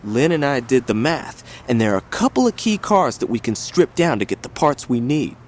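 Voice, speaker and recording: A man's voice: mid-range voice, big pitch swings, moderate pace, a little hiss or hum, close to an everyday voice. An actor playing a flaky, eager young male gang mechanic in a video game, with loose, slangy talk. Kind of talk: video game cutscene acting.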